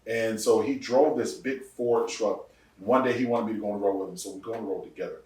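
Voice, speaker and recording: A man in his forties, a pastor, telling his testimony in a casual podcast interview, laughing often and jumping up in pitch for punchlines. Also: fast, recorded from a distance. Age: 40s. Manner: animated storyteller, laughs often, rises sharply for punchlines. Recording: echoey room, voice off-mic.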